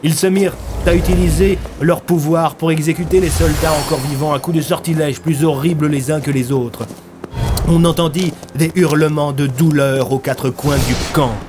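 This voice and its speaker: A man's voice: fairly high pitch, steady tone, moderate pace, noisy background. A man narrating a scripted dramatic story in a grave, theatrical way. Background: music.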